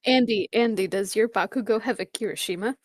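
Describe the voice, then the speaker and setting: A woman's voice: mid-range voice, brisk, dry recording, studio quality. A young woman in casual chat as podcast co-host, wry and opinionated.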